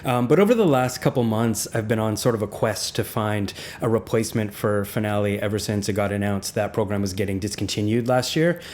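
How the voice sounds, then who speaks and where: A man with a slightly raspy voice, narrating a video as the host, curious and candid as he explains. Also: lowish voice, a little fast, few pauses, darkish tone. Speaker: music software reviewer.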